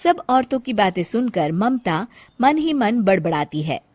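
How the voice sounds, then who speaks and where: A woman narrating a scripted cartoon moral story in an expressive storyteller's style. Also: medium pitch, measured pace, dry recording.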